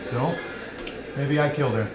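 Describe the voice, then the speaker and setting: A man's voice: medium pitch, a little slow, over a noisy crowd, natural voice. A man reading the part of a flippant, wry dinner companion in a novel, in a dry conversational manner. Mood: wry, brooding. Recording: roomy reading with music behind.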